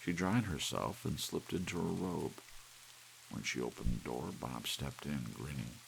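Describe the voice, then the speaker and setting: Very deep, gravelly male narrator. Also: measured pace, poor audio. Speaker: audiobook narrator. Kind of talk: scripted fiction narration, soothing ASMR. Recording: steady soft rain hiss behind. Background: soft rain.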